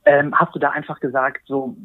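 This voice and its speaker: A man's voice: mid-range voice, level tone, brisk, dry recording. A man in his forties from Berlin, answering interview questions in a hesitant way, with many fillers and restarts. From Berlin, Germany. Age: 40s. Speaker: interview guest, coaching client. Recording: dry, tinny phone-like line.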